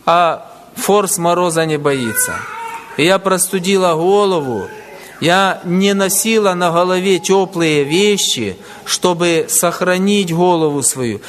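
A man's voice: high voice, long reverb tail, slight background noise. A man preaching a sermon to brothers and sisters, weaving in stories of church members he met. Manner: tells anecdotes, quotes people.

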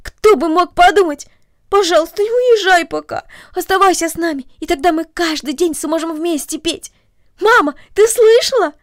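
Storybook role: enthusiastic, gushing young girl, played by a woman, high-pitched. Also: normal speed, no echo, studio quality. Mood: delighted, gushing. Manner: exclamatory, gushing. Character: excitable, enthusiastic young girl who loves singing.

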